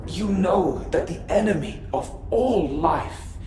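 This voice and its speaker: An actor playing a loyal, weary servant commander in a video game, a man speaking formally and gravely. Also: high-pitched, lively intonation, slow, darkish tone. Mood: pleading. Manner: deferential, grave, formal, pleading. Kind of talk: dramatic video game acting. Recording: echoey, noisy transmission.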